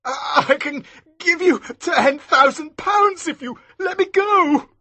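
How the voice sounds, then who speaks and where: A shrill, panicky magistrate. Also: male voice, big pitch swings, a little slow, bright, forceful tone, breathy edge, dry recording, heavily acted. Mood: pleading. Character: frightened pompous male magistrate, middle-aged. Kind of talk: audiobook character voice.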